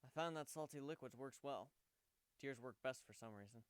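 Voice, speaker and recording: A man voicing a gentle, wry male pegasus pony who speaks matter-of-factly in a story reading. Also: medium pitch, moderate pace, clear, resonant tone, no room sound, natural voice. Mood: subdued, explaining.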